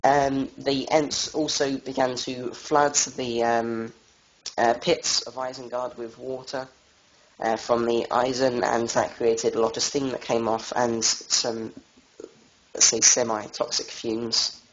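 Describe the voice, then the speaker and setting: A young man with a cold giving an informal lore talk as a tour guide, halting and full of fillers. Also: medium pitch, flat delivery. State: has a cold. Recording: dull lo-fi voice chat audio.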